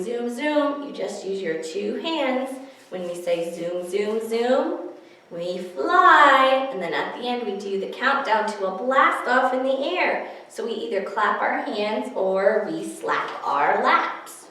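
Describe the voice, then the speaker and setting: A woman's voice: medium pitch, lively intonation, slow, fairly bright tone. A woman leading a story time for young children as a librarian, explaining rhymes simply in an encouraging, sing-song way. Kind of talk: nursery rhyme instruction for young children, with singing. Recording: echoey room, distant microphone.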